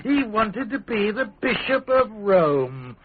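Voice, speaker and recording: An English actor playing a volatile, self-righteous young king in classic radio drama style, imperious and theatrical, swinging between bluster and hush. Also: high voice, very animated, slow pace, slightly rough, exaggerated voice. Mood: indignant, brooding. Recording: muffled, narrow-band radio broadcast.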